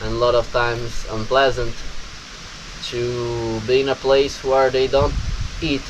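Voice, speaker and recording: A young Brazilian man in his twenties talks slowly to camera, with a Brazilian Portuguese accent and a halting way of speaking. Steady background hiss sits under his voice. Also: medium pitch. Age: 20s. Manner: halting, with many restarts and pauses. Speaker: vlogger. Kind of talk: casual talk to camera. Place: countryside home.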